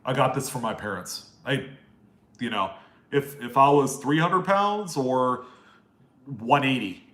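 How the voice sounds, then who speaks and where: A man's voice: medium pitch, lively intonation, unhurried, a bit bright, roomy sound. Nasal-voiced man hosting a live chat. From East Coast US, Maryland. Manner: rambling and friendly, reading out viewer names. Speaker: live stream host answering chat questions.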